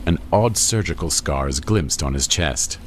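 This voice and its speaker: A man's voice: extremely low pitch, animated, normal speed, a little hiss or hum. A man reading screenplay stage directions as scripted narration.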